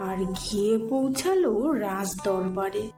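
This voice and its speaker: A woman's voice: medium pitch, very animated, measured pace, darkish tone. A woman reading scripted storybook narration for a cartoon tale. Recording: echoey, clear music behind.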